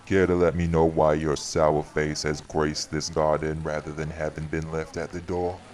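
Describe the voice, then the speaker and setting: Man acting stern supernatural guardian, bottomless low pitch, sardonic, formal, measured, audio drama. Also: level tone, darkish tone. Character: stern, dry-witted guardian of a supernatural New Orleans community.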